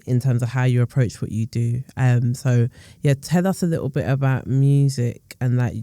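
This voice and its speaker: A man hosts a casual podcast interview with a very soft, dark and mellow tone. The sound is dry, and his way of talking is informal and encouraging. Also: medium pitch, dry recording. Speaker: podcast host.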